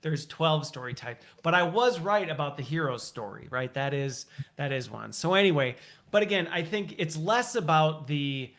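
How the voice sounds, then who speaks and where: A man with a fairly high voice hosts a relaxed, conversational interview. He is talkative, often thinking aloud and summing up points before moving on. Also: moderate pace, slight background noise. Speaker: interview host.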